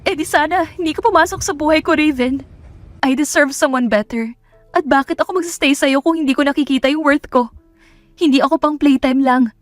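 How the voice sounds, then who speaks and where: A woman's voice: high voice, brisk, no echo, faint music behind, pitched above the performer's own voice, close to an everyday voice. A woman voicing a hurt, defiant young mother in a romance audio drama, speaking emphatically and indignantly. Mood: bitter, indignant.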